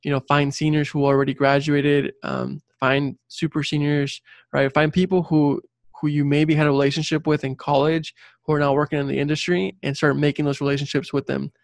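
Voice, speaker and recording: A man's voice: on the high side, flat delivery, brisk, creaky, dry recording, clean studio sound. A young man whose first language is Spanish, talking casually and earnestly as an interview guest, repeating points for emphasis. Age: late 20s or 30s. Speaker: interview guest, civil engineer and career coach.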